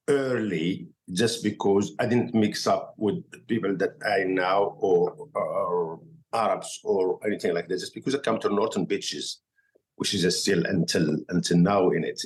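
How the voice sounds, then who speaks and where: A man from Morocco with a Moroccan accent and Arabic as his first language, telling his story as a podcast guest in halting, filler-filled English. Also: fairly low pitch, rough recording. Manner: halting non-native English, many fillers and restarts. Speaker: guest chef. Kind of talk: casual podcast interview.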